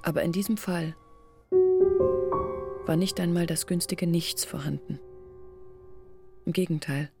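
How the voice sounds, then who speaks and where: An actress playing an earnest, bitter village woman in a German radio drama, reasoning insistently and intimately in a husky, creaky voice. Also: fairly low pitch, steady tone, measured pace, long pauses, over loud music. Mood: grave, insistent.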